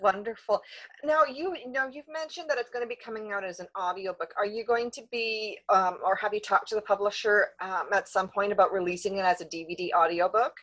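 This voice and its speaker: A woman's voice: mid-range voice, fairly bright tone, lo-fi sound. Woman host asking questions, keeps restarting mid sentence. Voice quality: halting, restarts her questions. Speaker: interviewer. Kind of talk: casual interview questions.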